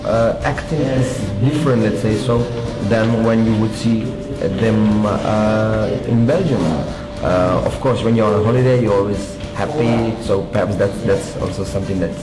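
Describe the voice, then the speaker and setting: Low-voiced man over background music. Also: unhurried, reverberant, rough recording. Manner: thoughtful, repeats words as he goes. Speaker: interviewee.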